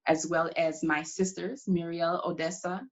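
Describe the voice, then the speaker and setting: A woman playing a proud, determined congresswoman, slightly low-voiced. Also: flat delivery, measured pace, dull audio, close to an everyday voice. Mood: reflective. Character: Shirley Chisholm, proud determined pioneering congresswoman from Brooklyn. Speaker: historical reenactor taking audience questions. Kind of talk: in-character question-and-answer reenactment.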